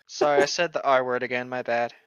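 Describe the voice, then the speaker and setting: A woman's voice: extremely low pitch, fairly even intonation, quick pace, clean tone, dry recording, lo-fi sound. A woman co-hosting a casual read-aloud podcast, mocking the book with sarcastic, irreverent commentary and plenty of swearing. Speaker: podcast co-host reading a novel aloud with commentary.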